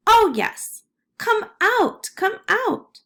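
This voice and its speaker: Woman voicing a gentle, slow-spoken storybook creature. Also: extremely high pitch, animated, pitched above the performer's own voice, heavily acted. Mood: calling out.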